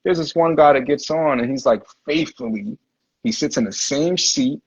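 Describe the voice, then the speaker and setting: Host, male, husky and a bit high-pitched, relaxed slangy storyteller, dull lo-fi audio. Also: normal speed. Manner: laid-back, slangy, heavy on "like" and "you know". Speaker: podcast host. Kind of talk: casual livestream interview storytelling.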